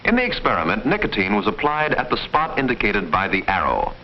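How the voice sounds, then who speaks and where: A man reading formal, measured, didactic scripted narration in the style of a mid-century educational film. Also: on the low side, huge pitch swings. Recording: muffled, noisy old film soundtrack.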